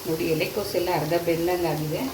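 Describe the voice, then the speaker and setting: A woman's voice: on the low side, steady tone, fast talker. A woman, hosting a cooking channel, who talks viewers through each step of a recipe as she cooks. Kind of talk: casual cooking demonstration. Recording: echoey kitchen with frying noise. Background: frying and sizzling from the pan.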